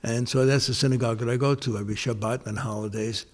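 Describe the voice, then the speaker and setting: An older man talks through old memories in an unhurried way. He keeps circling back with restarts and fillers, and his voice sits in a mid-range pitch. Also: fairly even intonation. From New York, United States. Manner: rambling, many restarts and pauses. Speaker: interviewee. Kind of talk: casual oral-history interview.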